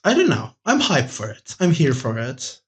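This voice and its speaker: A man's voice: mid-range voice, huge pitch swings, normal speed. A man talking casually and enthusiastically as a panelist on a podcast. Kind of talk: casual chat.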